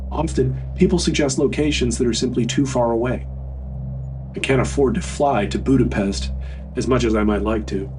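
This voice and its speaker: A man's voice: on the low side, distant mic. A man reading a scripted first-person horror story as a narrator. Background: music.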